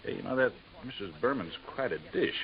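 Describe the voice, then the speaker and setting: An American actor playing a plain-spoken, middle-aged Coast Guard captain who talks in a folksy, chatty way in a 1950s radio drama. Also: male voice, medium pitch, expressive, a little fast, vocal fry. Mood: amused, gossipy. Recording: dull, muffled vintage radio recording.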